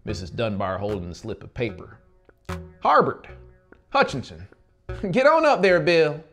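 A man in his thirties narrating an audiobook story in a dramatic style. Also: fairly low pitch. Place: small dark indoor studio. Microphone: lapel microphone. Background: music.

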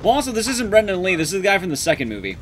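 Animated, high-pitched man streaming a game. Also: rapid-fire, faint music behind. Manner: casual, joking, swears mildly. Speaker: streamer and speedrunner. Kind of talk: live game commentary, chatting with viewers. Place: small indoor room at home, streaming.

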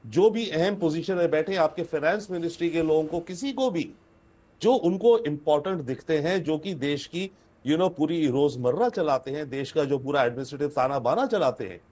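Older Indian-accented man, slightly high voice. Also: normal speed, darkish tone. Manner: mixes English phrases into Hindi, emphatic repetition. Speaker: foreign affairs expert guest. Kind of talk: analytical panel commentary. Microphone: video call.

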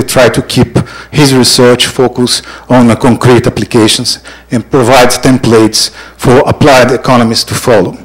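A man's voice: medium pitch, rough recording. Middle-aged guy introducing a speaker off his notes into a handheld mic, trips on some words. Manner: reads a list of honors with slips and restarts. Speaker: session chair introducing the speaker. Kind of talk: formal introduction read from notes. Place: large lecture hall, seated at a panel table. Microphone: handheld microphone.

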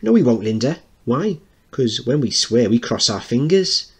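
A man's voice: medium pitch, sing-song, normal speed, breathy edge, voice pushed up, heavily acted. A man voicing a cocky, bullying boy who leads a street gang, in a dramatic reading of a play.

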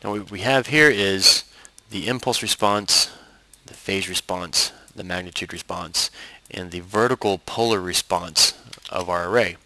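Man, low pitch, bright forceful tone, tutorial narration, step-by-step explanations. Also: unhurried, few pauses, no echo, poor audio. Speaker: instructor.

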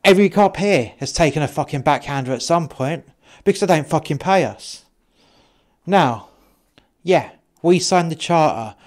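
A man's voice: fairly high pitch, animated, moderate pace, long pauses, a little mellow, natural voice. A man voicing an aggressive, threatening police officer who swears as he interrogates, in a storytelling style. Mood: menacing. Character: aggressive, bullying male police officer, foul-mouthed and threatening.

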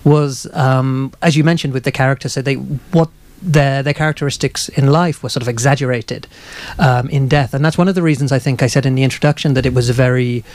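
Thoughtful male interviewer, mid-pitched and hesitant. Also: steady tone, fairly quick, a little mellow, no room sound. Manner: hesitant, thoughtful, long-winded phrasing. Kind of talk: literary interview. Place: small crowded bookshop, live event. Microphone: handheld microphone.

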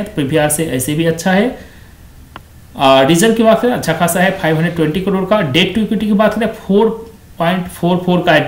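A man with a high, light voice walks through company numbers on a screen recording, chatty and repetitive in his praise. The sound bounces around an echoey room, picked up by a desk microphone. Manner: conversational, repeats stock phrases like "quite excellent". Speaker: stock market video host. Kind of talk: screen-share stock review.

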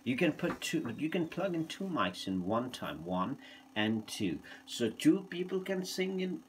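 A man presenting an informal hands-on unboxing in a hesitant, halting way, speaking non-native English in a room at home. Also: medium pitch, sing-song. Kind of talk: informal hands-on product demonstration. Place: small room at home, indoors. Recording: noticeable background noise, handling clicks.

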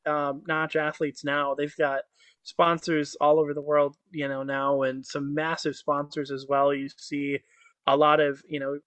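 A man's voice: fairly high pitch, a bit bright, no echo, highs cut off. A man in his thirties, born in Croatia, talking as an interview guest on a video call, upbeat and fluent with frequent "um"s and "you know"s. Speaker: guest, motivational speaker. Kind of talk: casual interview chat. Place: indoor home office with virtual backdrop. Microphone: webcam on a video call.

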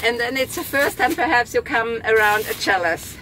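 Older woman, bright high lively delivery, storytelling. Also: normal speed, a little hiss or hum, low-quality recording.

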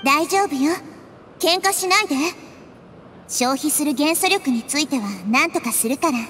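A woman voicing a small, childlike goddess in a video game, wise and gentle, explaining things calmly and thoughtfully. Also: sits high, moderate pace, a little hiss or hum. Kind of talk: video game character acting.

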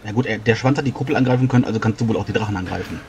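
A man's voice: fairly low pitch, rapid-fire, a little mellow. A man explaining tabletop game rules in detail and in a casual way, as a player. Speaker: tabletop role-playing player. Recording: faint background noise.

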